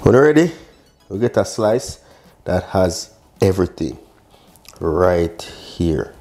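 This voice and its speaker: A man's voice: low-pitched, very animated, slow pace, a little mellow. A man, casually talking viewers through a recipe step by step, with short chatty exclamations. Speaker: cooking show host, chef. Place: indoor modern home kitchen.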